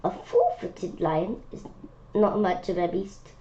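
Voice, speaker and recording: A woman reading a children's picture book aloud in a halting way, breaking off for spontaneous asides and questions. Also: mid-range voice, wildly swooping pitch, mellow. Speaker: read-aloud presenter. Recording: dull lo-fi sound with faint noise.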